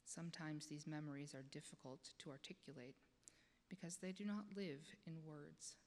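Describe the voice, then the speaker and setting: Female meditation leader, notably low register, gentle step-by-step prompts, long room reverb. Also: moderate pace. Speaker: lay leader of guided meditation. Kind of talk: guided meditation, slow prompting questions. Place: church sanctuary, speaking to congregation. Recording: echoey church hall, noticeable background noise.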